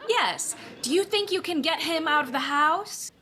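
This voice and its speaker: A woman playing a brisk, practical girlfriend in a recreated 1950s radio comedy, crisp and matter-of-fact. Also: high-pitched, expressive, bright, forceful tone, a little hiss or hum, exaggerated voice.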